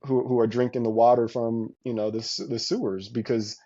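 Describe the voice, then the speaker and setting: A man speaks in a casual podcast conversation with a dark, mellow tone. He talks emphatically, repeating himself and restarting sentences as he presses a point. The audio is muffled and lo-fi. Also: medium pitch, flat delivery, fairly quick.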